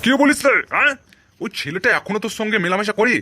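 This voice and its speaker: Man acting a blustering middle-aged headmaster, comic cartoon, highish male pitch, rapid delivery, music behind. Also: lively intonation, very noisy. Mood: indignant. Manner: blustering, scolding, colloquial.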